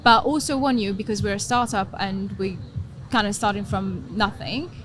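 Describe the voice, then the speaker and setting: A young adult woman from Morocco, a startup founder being interviewed, talking quickly and thinking aloud with many restarts. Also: medium pitch, background noise. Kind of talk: conversational podcast interview.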